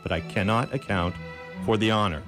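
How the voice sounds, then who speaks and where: A man's voice: lowish voice, a little fast, music in the background, lightly acted. A man playing a pompous, dismissive doctor in a radio drama, with a clipped, patronising, repetitive way of talking. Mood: pompous, scoffing. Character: pompous, dismissive male English hospital doctor.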